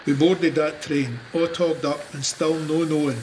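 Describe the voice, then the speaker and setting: A male actor as a Scottish cavalryman narrates his war in an audio drama. His voice sits a little high, with a wry, plain-spoken storytelling manner. There is faint noise behind him. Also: steady tone, unhurried, darkish tone, close to an everyday voice, low-quality recording. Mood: wry, reflective. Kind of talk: dramatised first-person memoir narration.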